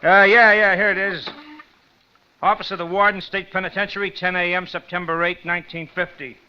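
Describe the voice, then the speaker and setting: A man's voice: sits high, little pitch movement, bright, forceful tone, clean tone. An American man playing a harried, officious prison desk sergeant in a 1950s radio drama. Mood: brisk, irritated. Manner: brisk, officious, flustered hesitations. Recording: muffled old radio recording.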